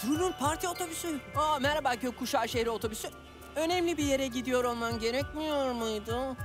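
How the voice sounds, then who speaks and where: A woman voicing an excitable, reluctant little cat sidekick in an animated children's series, fussy and expressive. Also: high voice, a little fast, lightly acted. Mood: playful, admiring. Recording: music behind. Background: music.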